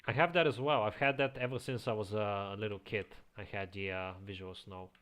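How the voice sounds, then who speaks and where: A man with a slight Eastern European accent, chatting casually as a streamer, reading chat aloud and chuckling often into a quiet home microphone. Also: on the low side, fairly even intonation, fairly quick, smooth. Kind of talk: casual live-stream chat while playing.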